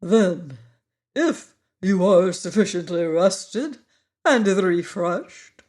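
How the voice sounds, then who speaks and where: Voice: medium pitch, expressive, drawn-out words, a little mellow. A reader voicing a pompous, learned male insect who lectures in grand, formal phrases. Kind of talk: audiobook character voice.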